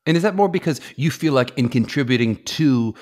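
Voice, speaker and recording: Lively, chatty male podcast host. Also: medium pitch, fairly quick. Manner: animated, chatty, frequent affirming murmurs. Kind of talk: casual interview conversation.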